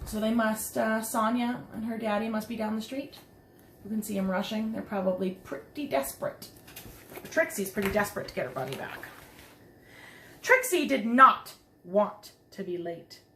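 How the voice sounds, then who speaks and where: A woman reading a picture book aloud to young children, expressive, with chatty asides and simple questions to her listeners. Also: mid-range voice, moderate pace. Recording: roomy echo, some background noise.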